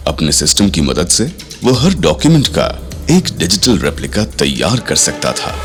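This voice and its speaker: Male narrator, deep and highly expressive, dramatic storytelling with light music behind. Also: normal speed. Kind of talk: dramatic scripted backstory narration.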